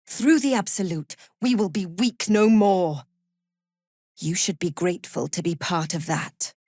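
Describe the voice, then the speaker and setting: A woman playing a cruel, haughty prison warden in a fantasy video game, menacing and condescending, with measured, deliberate delivery. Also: fairly low pitch, a little mellow, no echo, clean studio sound. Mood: cold, condescending. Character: cruel, haughty female prison warden, zealous cult follower.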